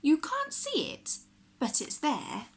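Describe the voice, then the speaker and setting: Slow, animated woman reading to children. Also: high voice, slight background noise. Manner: expressive, deliberate phrasing for young children. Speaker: primary school teacher reading aloud. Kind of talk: children's picture book read-aloud.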